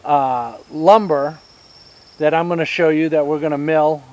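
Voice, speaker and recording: A man in his fifties with a Southern US accent, giving a casual outdoor tour of his homestead and explaining each step with pauses. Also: on the high side, steady tone, darkish tone. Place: outdoors on rural land by a pine forest. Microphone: action camera held at arm's length.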